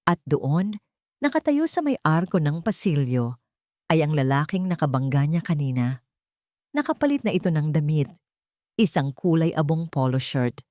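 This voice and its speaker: Woman narrating drama, low-pitched and expressive. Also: normal speed, no echo, studio quality. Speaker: storyteller. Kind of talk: scripted dramatic love story narration.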